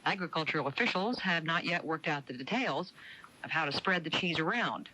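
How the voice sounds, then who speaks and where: A woman's voice: low-pitched, lively intonation, a little fast, pushed and bright, slight background noise. An American woman reading scripted news as a radio reporter. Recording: dull, lo-fi AM radio broadcast.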